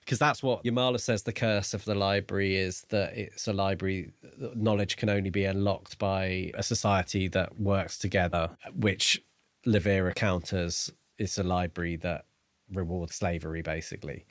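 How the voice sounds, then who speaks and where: A man's voice: sits low, steady tone, moderate pace, no echo, studio quality. A man co-hosting a podcast, speculating in a musing way during a casual book discussion. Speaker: podcast co-host.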